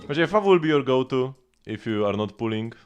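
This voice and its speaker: A man's voice: mid-range voice, animated, fairly quick, a little hiss or hum. A man live streaming a video game, chatting casually and informally as he rambles along and answers viewers. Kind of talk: casual gaming stream chat. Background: game music.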